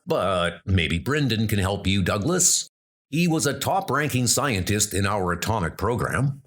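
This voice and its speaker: A man plays a sober soldier leading knights in a post-apocalyptic adventure serial. The voice is low and grave, with lively movement in pitch. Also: unhurried. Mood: somber.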